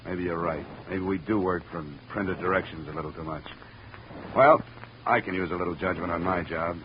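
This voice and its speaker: A low-pitched, hard-boiled private investigator played by a man, dry and wry in vintage radio style. The recording is muffled, with clear background noise. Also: moderate pace, natural voice. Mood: stern, relenting. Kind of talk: 1950 radio detective narration and dialogue.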